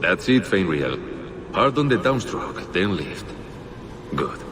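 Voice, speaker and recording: Deep, slow, fatherly merchant. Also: big pitch swings, background noise, full character voice. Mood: fatherly, encouraging. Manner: unhurried, fatherly, encouraging. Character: demon posing as a doting merchant father.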